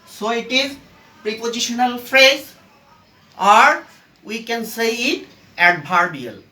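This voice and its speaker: A teacher giving a step-by-step grammar lesson in short, halting phrases, asking and answering their own questions, recorded from a distance in an echoey room. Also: medium pitch, animated, bright, forceful tone. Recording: echoey room, far from the mic.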